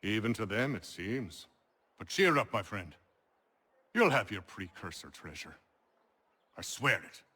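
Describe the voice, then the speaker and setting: An actor playing a genial, well-spoken colonial landowner and merchant, articulate and wry, in a video game cutscene. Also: male voice, on the low side, sing-song, unhurried, pauses often, pushed and bright, slightly rough, full character voice. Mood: reassuring.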